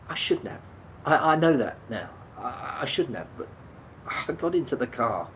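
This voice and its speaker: A man's voice: moderate pace, rough recording. An actor in a radio play playing a remorseful, defensive man who speaks hesitantly, stumbling and repeating himself. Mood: defensive, regretful. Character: remorseful, defensive man haunted by a fatal drunk-driving crash. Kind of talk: naturalistic radio play dialogue. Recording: steady background noise, low rumble.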